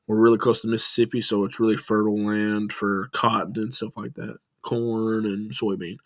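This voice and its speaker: A man's voice: on the low side, fairly bright tone, no echo. A young man from West Tennessee with a Southern twang, rambling informally through a class project with plenty of "um"s and restarts. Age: young adult. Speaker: student presenting a class project. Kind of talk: casual recorded class presentation.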